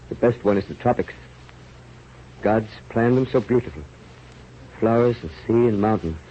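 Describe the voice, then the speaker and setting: A man's voice: lowish voice, close to an everyday voice. Boisterous, big-hearted male adventurer, declamatory, played by a man for vintage radio drama; dull, slightly hissy recording. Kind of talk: 1930s radio drama acting. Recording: muffled, noisy old radio recording.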